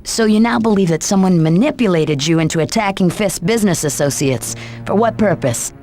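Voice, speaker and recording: A woman's voice: lowish voice, expressive, brisk, a little mellow, soft background music, close to an everyday voice. An actress playing a probing female interrogator in a video game, dry and questioning. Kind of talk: video game cutscene acting.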